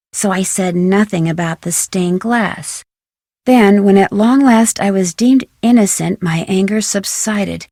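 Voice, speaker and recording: A woman playing a dignified, wistful older woman who reads out a confession letter in a measured, solemn way for an adventure game. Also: lowish voice, gravelly, darkish tone, no room sound, studio quality. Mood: bitter, wounded. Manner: measured, solemn, deliberate phrasing.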